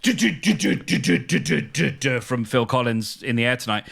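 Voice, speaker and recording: A man co-hosting a podcast in casual comedic chat, swearing freely, with halting, stop-start storytelling. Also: medium pitch, animated, vocal fry, a bit bright, breathy edge. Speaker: podcast co-host.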